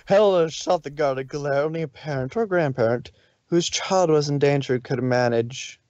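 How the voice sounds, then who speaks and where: A man narrates a story in an amateur reading style. His voice sits a bit high, and he can trip over words as he reads. The sound is dry and somewhat dull, from a close home microphone. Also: normal speed, darkish tone, no room sound.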